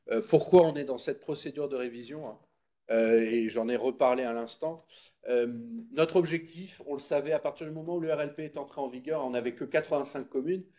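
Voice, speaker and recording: A man with a soft-edged, mellow voice presents at a public meeting. He explains in an informal, didactic style, talking to his listeners directly and checking that they follow. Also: a little high, a little fast.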